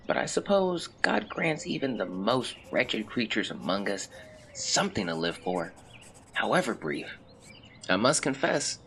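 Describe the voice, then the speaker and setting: An actor playing a melancholy young man who reads out a confessional farewell letter in measured, formal words, as in an audio drama. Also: fairly low pitch, fairly bright tone, slight background noise. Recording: clear birdsong and outdoor ambience behind. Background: birdsong, nature ambience.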